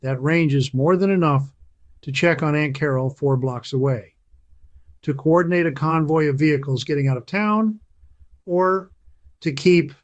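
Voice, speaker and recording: A man narrates a scripted voice-over with a slightly raised pitch. His delivery is emphatic and persuasive, stretching out key phrases for weight. The sound is dry and close, with no room around it. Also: dry recording. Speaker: channel host.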